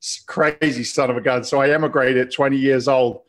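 A man's voice: mid-range voice, fairly even intonation, brisk, a bit bright. Brash jokey English-American man in his fifties. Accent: English mixed with American. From England. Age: 50s. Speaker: podcast guest, real estate investor. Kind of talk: casual interview chat.

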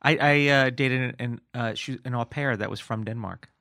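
A man hosting a podcast, teasing his guest in quick comic banter on a close mic. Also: mid-range voice, bright, forceful tone, no echo. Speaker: podcast host. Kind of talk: casual comedic chat.

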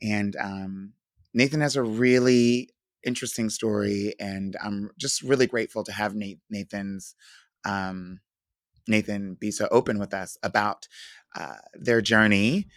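A woman co-hosting a podcast, chatting casually and then introducing the next guest in a soft, unhurried way. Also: very deep voice, fairly even intonation, dry recording, studio quality. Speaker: podcast co-host.

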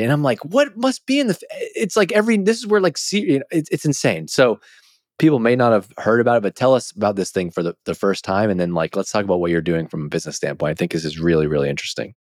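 A man's voice: lowish voice, no echo, studio quality. A man hosting a podcast interview in casual chat, informal and emphatic, often restarting a thought mid-sentence. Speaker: podcast host.

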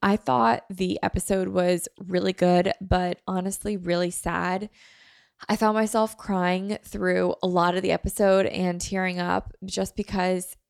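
A young woman chatting casually on her solo podcast, nasal and stuffy from a head cold. Her lowish voice has a gravelly fry, and the sound is close and dry with no room around it. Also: level tone, a little slow, no room sound. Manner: chatty, many fillers. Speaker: podcast host.

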